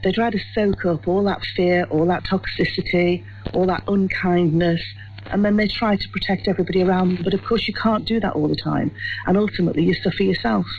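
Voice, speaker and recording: An older woman with a husky voice, giving reflective, emphatic answers as a radio interview guest. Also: fairly low pitch, fairly even intonation, fairly quick. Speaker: retired head teacher, interview guest. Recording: dull lo-fi radio recording.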